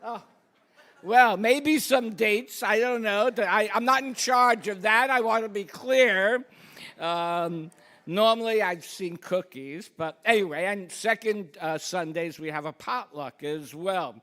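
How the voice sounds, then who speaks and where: A woman's voice: mid-range voice, takes it slowly, fairly bright tone. A woman speaking as a minister to her congregation, with informal, self-deprecating asides between announcements and readings. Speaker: congregation minister leading the service. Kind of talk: church service announcements and scripture reading. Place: church sanctuary, speaking to a congregation.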